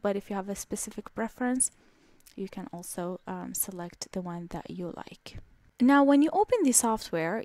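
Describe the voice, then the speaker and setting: A woman talks through a software tutorial in a relaxed, explanatory way, pausing often on ums and restarting phrases. Her voice sits in a middle range and sounds dry and close, with no room around it. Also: mid-range voice, no echo. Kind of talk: screen-recorded software walkthrough.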